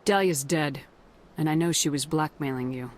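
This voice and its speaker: An actress playing a direct, matter-of-fact military commander heroine in a video game. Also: female voice, low voice, normal speed, a little hiss or hum, lightly acted. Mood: blunt. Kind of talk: dramatic video game voice acting.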